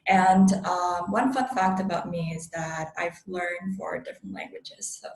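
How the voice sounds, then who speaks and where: A woman's voice: on the low side, little pitch movement, unhurried, darkish tone, echoey room. A young woman from Vietnam, a native Vietnamese speaker, introducing herself modestly on a student panel. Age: young adult. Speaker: student group president. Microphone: video call.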